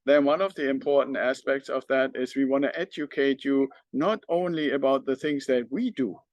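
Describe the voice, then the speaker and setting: A man's voice: medium pitch, normal speed, no echo. A middle-aged man from northern Germany with a German accent, talking unscripted to his audience as a podcast host.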